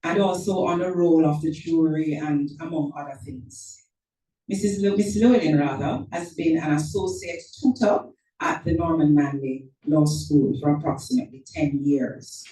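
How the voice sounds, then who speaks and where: A woman's voice: low voice, mellow. A woman reading a formal introduction of a guest speaker at a club meeting, with small slips and self-corrections. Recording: echoey room from far off.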